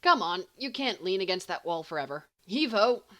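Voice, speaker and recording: A woman's voice: lowish voice, expressive, brisk, bright, forceful tone. A woman playing a brash, teasing teenage schoolboy in an audiobook, indignant and flippant with a mock-grand manner.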